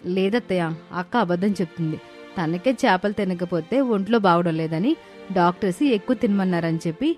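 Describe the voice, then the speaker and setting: A woman's voice: lowish voice, a little fast, darkish tone, faint music behind, natural voice. Woman acting a sly, scheming cartoon daughter-in-law. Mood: defensive, bickering. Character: sly, food-loving young daughters-in-law, bickering and scheming. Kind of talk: dramatized moral-story cartoon acting.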